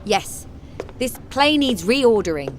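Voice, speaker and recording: An actress playing a brisk, decisive female theatre director in a radio drama. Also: a little high, very animated, very noisy. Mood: commanding. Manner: commanding, decisive.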